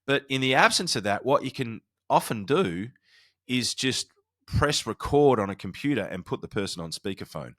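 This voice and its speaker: A man's voice: medium pitch, lively intonation, moderate pace, fairly bright tone, no room sound. A man speaking as a podcast host and coach, explaining and advising in an informal, conversational solo style with often restarted phrases.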